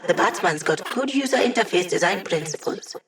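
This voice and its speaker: A woman's voice: on the low side, expressive. An actress voicing a witty young female hacker in a video game, delivering sly, teasing quips to her team. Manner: wry, teasing, quick quips. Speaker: hacker support character.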